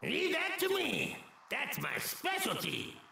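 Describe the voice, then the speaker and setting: An actor playing a jovial, pompous, eccentric otherworldly martial arts master, showy and pleased with himself, in an anime game. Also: male voice, very high voice, huge pitch swings, slow, creaky, sharp tone, slight background noise, heavily acted. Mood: proud. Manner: showy, self-satisfied. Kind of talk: English dub of an anime video game cutscene.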